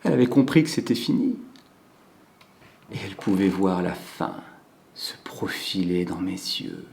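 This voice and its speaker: A man performing a theatrical monologue as a cold, controlling psychopathic killer who savors his confession in a hushed, deliberate way. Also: low voice, animated, vocal fry, a little hiss or hum, full character voice. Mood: savoring, sinister. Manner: slow, hushed, deliberate delivery with drawn-out pauses. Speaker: acting student performing a monologue.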